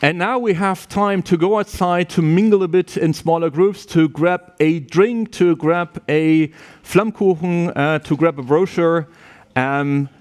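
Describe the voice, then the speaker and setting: A man's voice: a little high, unhurried. A man speaking informally to prospective students at a university open day, loose and chatty, with many restarts and "yeah?" tags.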